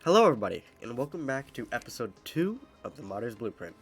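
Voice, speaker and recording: A man's voice: medium pitch, big pitch swings, fast talker, fairly bright tone, breathy edge, faint music behind. A young man hosting a coding tutorial, explaining step by step with frequent "uh"s, his voice slightly off after being sick. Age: young adult. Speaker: modding tutorial host. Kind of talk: screen-recorded coding tutorial.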